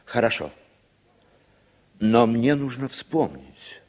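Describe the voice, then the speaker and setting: An actor playing an evasive, sardonic American writer in a 1960s Soviet radio drama, with a husky voice. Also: male voice, medium pitch, very animated, slow pace, darkish tone. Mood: reluctant, reminiscing.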